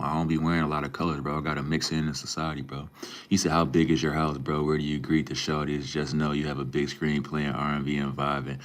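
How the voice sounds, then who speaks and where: Super deep young dude from minnesota on a live stream, chill slangy chat w/ viewers. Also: male voice. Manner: laid-back, relaxed slang, calls viewers bro and man. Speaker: livestreamer. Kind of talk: casual live stream chat, reading and answering viewer comments.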